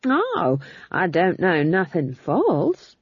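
A woman voicing an unflappable old witch, plain-spoken, defiant and deadpan, in an audiobook. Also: fairly low pitch, slow pace, slightly rough, no room sound, muffled recording, exaggerated voice. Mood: sly accusation. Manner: rustic, deadpan backtalk. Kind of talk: audiobook character voice.

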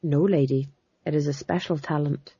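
An audiobook reader voicing a nervous, quick-witted male court fool who speaks hastily and eagerly, in a dull lo-fi recording. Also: mid-range voice, steady tone, dark tone, husky, dry recording. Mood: hasty. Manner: hasty, eager to please.

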